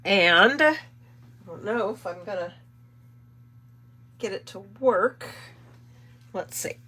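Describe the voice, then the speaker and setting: A woman's voice: medium pitch, lively intonation, takes it slowly, pushed and bright, breathy edge. A middle-aged woman hosting a casual live card-making demo, chatty and rambling, stuffy from a cold. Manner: chatty, rambling, self-correcting. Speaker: card-making channel host.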